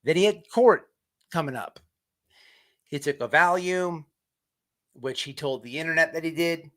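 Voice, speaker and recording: A man hosting an online show with off-the-cuff livestream commentary, halting as he repeats words and restarts. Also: a little high, fairly bright tone.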